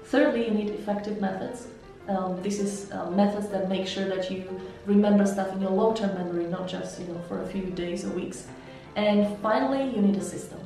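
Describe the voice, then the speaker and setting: Mellow-voiced Slovak woman, echoey room. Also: medium pitch, steady tone, a little fast, hardly any pauses. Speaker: language mentor and interpreter. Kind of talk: interview answers. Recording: echoey room, heard from some distance, soft music.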